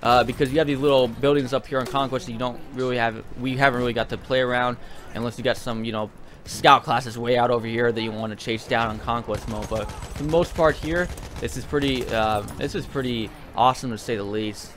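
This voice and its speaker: A man's voice: mid-range voice, fairly even intonation, fairly quick, a bit bright, a little hiss or hum. A man giving casual video game commentary in a rambling, hesitant way, often repeating himself.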